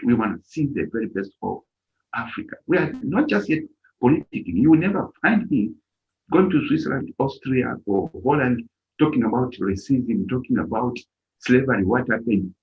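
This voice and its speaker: A middle-aged man from Zambia who leads an organization, giving an impassioned speech at a live-streamed event and stressing his key points hard. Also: medium pitch. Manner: emphatic, rising to near-shouts on key points. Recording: dull lo-fi stream audio.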